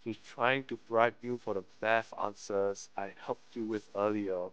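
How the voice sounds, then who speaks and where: A man's voice: lowish voice, steady tone, a little slow, a bit bright, clear, resonant tone, muffled recording. A man live-streaming a visual novel, reading it aloud with slangy commentary and frequent stumbles over the text. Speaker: game streamer.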